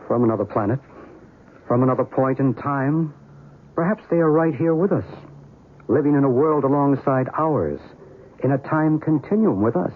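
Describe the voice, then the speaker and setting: A man's voice: mid-range voice, normal speed, phone-quality sound, natural voice. An actor playing an earnest, philosophical male believer in otherworldly visitors, persuasive in old-time radio style. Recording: noisy, thin old radio recording.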